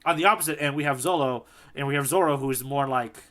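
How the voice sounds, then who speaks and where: A man's voice: mid-range voice, quick pace, fairly bright tone. A man co-hosting a podcast in casual conversation, talking through a story and acting out bits of it as he goes. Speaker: podcast co-host. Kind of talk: casual chat, manga discussion.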